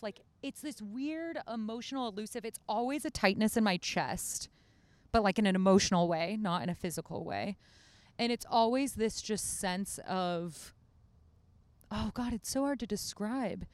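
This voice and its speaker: A woman's voice: medium pitch, normal speed, dry recording. A woman talking casually as a podcast guest outdoors in a shaded backyard. She rambles with lots of "like" and restarts her sentences.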